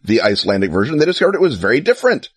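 A man's voice: medium pitch, big pitch swings, rapid-fire, breathy edge, dry recording, studio quality. A man co-hosting a casual chat show, explaining at length with enthusiasm, wry asides and frequent fillers. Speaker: podcast co-host.

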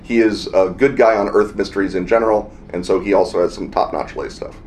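A man's voice: lowish voice, fairly even intonation, a little fast, a little mellow, slight background noise. A man co-hosting a podcast, rambling enthusiastically in casual chat from a hotel room. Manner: rambling, enthusiastic, full of fillers. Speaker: podcast co-host.

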